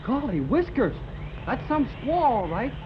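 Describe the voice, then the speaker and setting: A man's voice: very high voice, very animated, a little slow, full character voice. An actor playing Jerry, an eager, folksy young man, in a 1930s radio drama. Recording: muffled old radio transcription with loud hiss.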